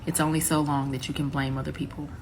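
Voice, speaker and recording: Low-voiced woman giving earnest advice. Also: level tone, a little hiss or hum. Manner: measured, earnest, lecturing. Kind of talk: response video commentary.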